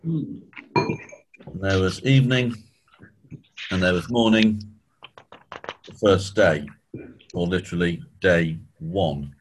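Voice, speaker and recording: A man with a croaky voice, clearing his throat often as he leads a church study session, slowing down on key points. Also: deep voice, background noise. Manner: measured, slows down on key points. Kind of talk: spoken teaching talk.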